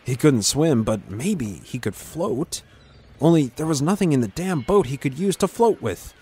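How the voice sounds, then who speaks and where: A man's voice: on the high side, lively intonation, normal speed, warm tone. A man reading a scripted fantasy adventure as a dramatic narrator. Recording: clear background ambient noise.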